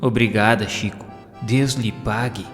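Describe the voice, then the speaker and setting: An earnest, devout village woman , read by a man speaks politely in a Brazilian accent. The storybook voice is low, with long reverb and music behind it. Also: steady tone, measured pace, lightly acted. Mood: grateful.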